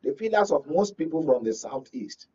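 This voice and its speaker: A man with a Nigerian accent speaking as a media analyst in a live political discussion, phrasing his points deliberately and with emphasis. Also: a little high, sing-song, dark tone, breathy edge, muffled recording. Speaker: media analyst, guest pundit.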